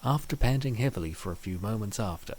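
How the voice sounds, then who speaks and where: Low-pitched, lively male story narrator. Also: fast, slight background noise. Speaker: narrator of a comic dub.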